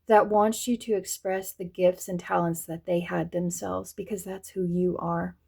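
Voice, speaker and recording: A woman's voice: on the low side, level tone, unhurried, creaky, a little mellow, husky. A woman from the Southern US with a light Southern accent, giving a chatty tarot reading that speaks straight to her viewers. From Southern United States. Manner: chatty, addresses viewers directly, laughs often. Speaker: tarot reader.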